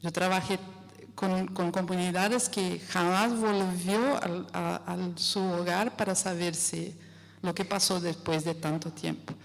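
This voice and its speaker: A woman from Brazil with a Brazilian Portuguese accent, speaking Spanish as a second language in an audience question, halting and searching for words. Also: fairly low pitch, fairly even intonation, gravelly. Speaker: audience member asking a question. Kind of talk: spontaneous remarks in a lecture Q&A. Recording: echoey hall, distant mic, noisy.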